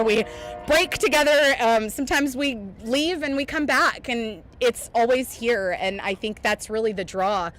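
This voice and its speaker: A woman with a Southern US country accent, a roller derby skater, answering interview questions candidly and laughing often. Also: fairly high pitch. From Southeast Missouri.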